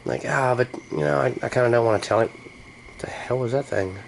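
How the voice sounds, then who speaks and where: A man with a noticeably creaky, mid-pitched voice rambles casually to his viewers. He is playful and slangy, swearing mildly as he goes. There is clear background noise in the room. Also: fairly quick. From United States. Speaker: hobbyist vlogger. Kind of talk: rambling casual chat to camera.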